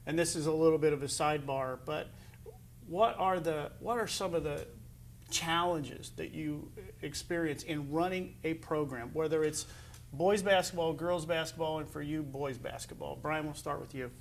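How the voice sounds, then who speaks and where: A man's voice: on the high side, steady tone, moderate pace, vocal fry, a little hiss or hum. A man with an American accent hosting a casual sports interview as the show's interviewer. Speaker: interview show host.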